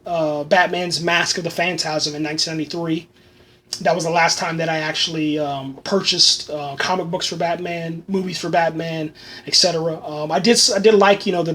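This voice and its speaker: A high-voiced man is hosting a casual solo review podcast. He rambles with enthusiasm, piles on fillers and repeats himself, and his voice is going slightly hoarse. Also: fairly bright tone. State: losing his voice. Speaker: podcast host reviewing a film.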